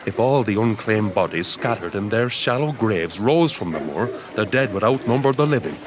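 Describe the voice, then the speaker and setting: A man playing a grave, blunt manservant and huntsman in a gothic audio drama, with a measured, weary, plain-spoken manner. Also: on the low side, animated, noisy background, natural voice. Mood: grave, foreboding.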